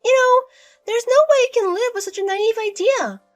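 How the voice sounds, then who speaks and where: A woman voicing a scheming older mother-in-law who talks in a shrill, scornful, domineering way. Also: moderate pace.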